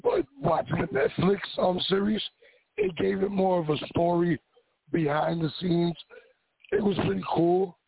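A man from New York who has lost his voice, answering interview questions in a raspy, halting way with many pauses. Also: high voice, animated. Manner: halting, many ums and repeats. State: hoarse, lost voice. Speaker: guest wrestler. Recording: tinny phone line.

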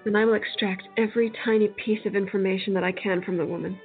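A woman's voice: mid-range voice, fairly even intonation, fast, phone-quality sound, soft background music, lightly acted. A woman playing an earnest, eager young American in a radio play. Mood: eager, determined.

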